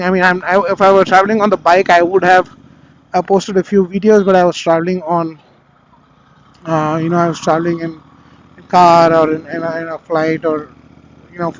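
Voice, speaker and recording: High-pitched Indian-accented man, casual vlogging. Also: low-quality recording. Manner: rambling, conversational. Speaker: motovlogger reviewing gear. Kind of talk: casual spoken product review. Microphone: helmet intercom microphone. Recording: helmet intercom mic with vehicle noise.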